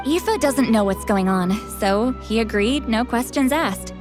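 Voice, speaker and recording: Tribe mentor lady in a game, kinda high youthful voice, frank and protective, sure of herself. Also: expressive, unhurried, over music. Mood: serious. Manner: candid, informal, self-assured. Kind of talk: video game voice acting.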